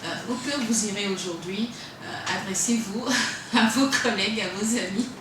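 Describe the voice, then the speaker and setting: Woman radio host, casual and halting, recorded far off in a boomy room with noise. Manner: chatty, hesitant with frequent fillers. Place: web radio studio. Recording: echoey room from far off, noisy.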